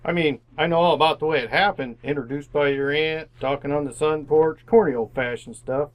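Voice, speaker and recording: A man's voice: fairly high pitch, brisk, close to an everyday voice. A man acting in an old-time style radio drama as a slick, chummy salesman, glib and emphatic. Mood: brash, pleased. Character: slick, chummy romance-agency salesman with boundless confidence.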